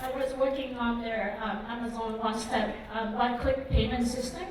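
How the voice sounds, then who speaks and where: A woman's voice: fairly high pitch, moderate pace, background noise. A woman in her thirties from Vietnam giving a conference talk, hesitant, with many ums and likes. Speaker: conference speaker. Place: conference hall. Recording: echoey hall, mic far off.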